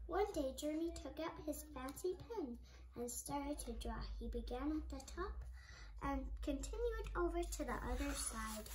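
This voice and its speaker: Child storybook reader, very high voice, halting delivery, noisy home recording. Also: animated. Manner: halting reading with repeats and self-corrections. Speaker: storybook reader, channel host. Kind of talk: reading a picture book aloud with comments.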